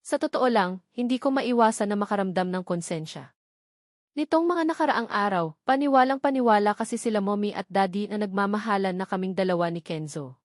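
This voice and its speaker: A woman's voice: mid-range voice, a little fast, no room sound, clean studio sound. A woman narrating a novel in the first person, reading from a script in a storytelling style. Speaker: audiobook narrator.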